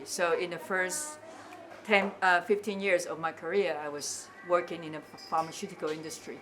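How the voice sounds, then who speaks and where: Lowish-voiced woman with a Taiwanese accent. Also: sharp tone. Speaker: interviewee, cafe owner. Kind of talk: informal explanatory interview talk.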